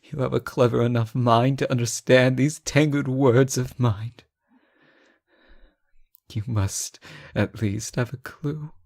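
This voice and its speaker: Man acting a slow, breathy, anguished captive king. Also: medium pitch, fairly even intonation, a little mellow. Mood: confessing, resigned. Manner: halting, breathy, often dropping to whispers. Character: captive reckless but benevolent male ruler, lovesick and anguished. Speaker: audio roleplay performer. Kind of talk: emotional fantasy romance audio drama.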